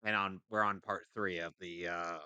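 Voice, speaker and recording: Guy reading a silly script mock-dramatic, bright clear voice, dry close sound. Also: low voice, fairly even intonation, normal speed, no echo. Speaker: co-reader on a live comedy stream.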